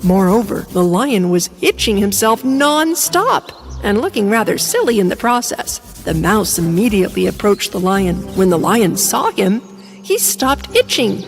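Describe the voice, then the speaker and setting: A woman's voice: medium pitch, sing-song, a little slow, gravelly, soft background music. A woman reading scripted narration for a children's bedtime story.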